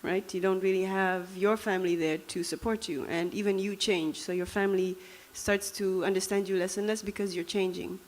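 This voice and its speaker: A woman's voice: medium pitch, fairly even intonation, moderate pace, hardly any pauses. A young woman from Namibia with a Dutch accent, giving a reflective, conversational personal talk with frequent fillers. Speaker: speaker.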